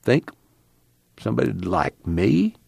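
A man voicing a bitter, self-pitying one-eyed junkyard worker with rough, plaintive country speech in an audiobook. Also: mid-range voice, animated, normal speed, no room sound, clean studio sound, pitched above the performer's own voice, natural voice. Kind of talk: acted audiobook dialogue.

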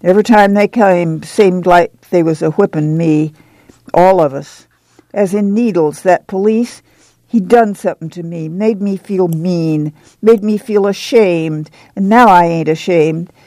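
A woman's voice: on the low side, slow, warm tone, no echo. A woman reading aloud voices a weary, proud farm mother with a plain rural drawl, in a dry close recording. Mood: moved.

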